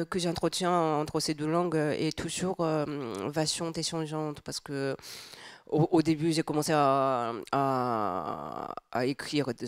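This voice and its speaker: A woman with a Japanese accent, a native Japanese speaker, talks at a live literary event. Her voice is smooth and clean, and the sound is dry and close. She moves slowly and hesitantly, with many fillers and restarts as she searches for words. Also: low voice, no room sound. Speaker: writer and guest speaker. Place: public talk on stage.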